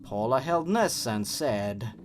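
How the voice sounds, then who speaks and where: A man's voice: medium pitch, lively intonation, slow pace. A man hosting a dramatic reading of a horror story, dropping in sarcastic, exasperated comments of his own. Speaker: host. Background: music.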